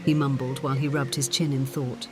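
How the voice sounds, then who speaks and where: A narrator reads a scripted story for an audiobook. The voice sits at a middling pitch. Music is clearly heard behind the reading. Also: flat delivery, a little fast.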